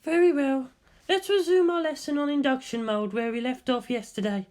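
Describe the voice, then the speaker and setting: A narrator performs a stern woman scientist for a fan fiction audiobook. Her voice sits high. She speaks in clipped, instructional phrases, like a commanding teacher who is easily irritated. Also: normal speed, darkish tone, natural voice. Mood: brisk, instructive. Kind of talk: amateur fan fiction reading with character voices.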